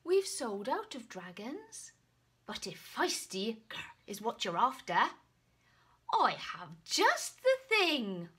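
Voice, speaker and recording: A woman's voice: on the high side, wildly swooping pitch, slow, fairly bright tone, breathy edge, full character voice. A woman voicing a helpful, eager storybook shopkeeper with an emphatic, persuasive delivery.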